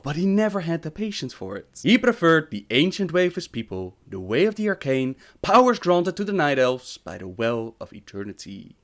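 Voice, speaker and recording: Male storyteller for scripted game-lore video; slightly high pitch, very varied melody, emphatic openings, falling endings. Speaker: video narrator and host.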